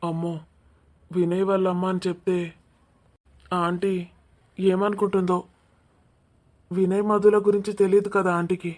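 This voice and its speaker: A woman's voice: fairly low pitch, level tone, unhurried, long pauses, darkish tone. A woman voicing a polite, tactful young man who placates his elders in a cartoon family drama. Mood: careful.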